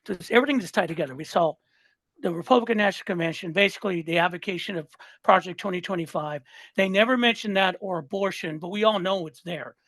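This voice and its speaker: A man's voice: high voice, fast, a bit bright, no room sound, rough recording. A man in his sixties from Southern California, a listener guest talking politics in a fired-up way, often repeating and restarting words. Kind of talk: casual political chat.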